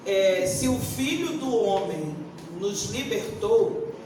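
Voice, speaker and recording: A Brazilian woman of sixty-one answering on a forum panel like a pastor, deliberate and emphatic, searching for words as she goes. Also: mid-range voice, animated. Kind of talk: unscripted panel answer with preaching tone. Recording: echoey room, distant mic, faint noise.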